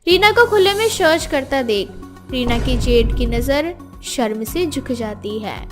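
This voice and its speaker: A woman's voice: sits high, lively intonation, unhurried, soft background music. A woman reading scripted story narration as the narrator.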